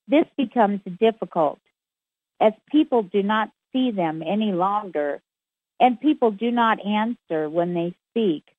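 Woman channeling, super slow and formal, tinny phone line. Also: mid-range voice, lively intonation, no echo. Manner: measured, formal phrasing.

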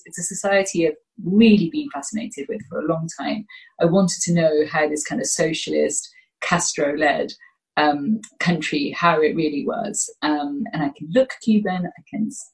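A woman's voice: low voice, a little mellow, low-quality recording. A woman in her forties, a podcast guest, telling reflective stories, often correcting herself and laughing. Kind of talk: reflective interview storytelling.

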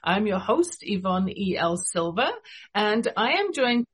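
A woman's voice: mid-range voice, sing-song, measured pace, husky. A woman with an English accent, hosting a radio interview show and introducing her guest with enthusiasm. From England.

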